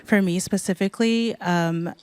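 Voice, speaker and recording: An upbeat woman from the Bay Area speaking as a DJ on a live panel. Her voice is smooth and resonant, at mid pitch. The sound is dry and close. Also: normal speed, darkish tone, dry recording. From San Francisco Bay Area. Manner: enthusiastic, upbeat. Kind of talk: panel discussion.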